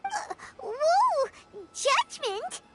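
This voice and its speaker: A woman voicing a small, excitable, childlike fairy companion in a video game, squeaky and full of dramatic exclamations. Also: extremely slow, heavy background noise, full character voice. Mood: nervous.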